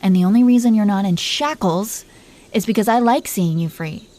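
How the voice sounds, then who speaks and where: A woman's voice: mid-range voice, normal speed, mellow, close to an everyday voice. A woman playing a possessive, teasing dragon queen in an intimate roleplay audio, commanding yet doting toward her husband. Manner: playful, commanding, mock-sweet.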